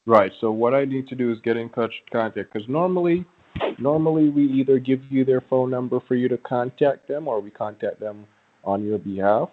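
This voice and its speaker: A man on a phone call giving a persuasive pitch as an agent, formally polite but halting and repeating himself. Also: a little hiss or hum. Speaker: phone caller posing as a prize agent. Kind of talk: persuasive phone sales talk. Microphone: telephone. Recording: muffled, band-limited phone line.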